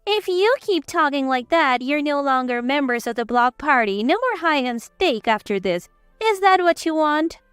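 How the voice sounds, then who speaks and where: Woman voicing a haughty, high-pitched domineering mother-in-law. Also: animated, moderate pace, fairly bright tone, dry recording, soft background music. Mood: bossy, threatening. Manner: bossy, haughty lecturing. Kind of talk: dramatized story narration.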